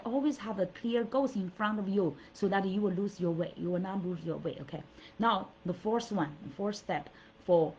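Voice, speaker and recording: A woman's voice: medium pitch, slightly rough. A middle-aged woman from China, a native Mandarin speaker, giving an earnest, encouraging motivational talk as coach and host, with many fillers and restarts. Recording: dull lo-fi audio.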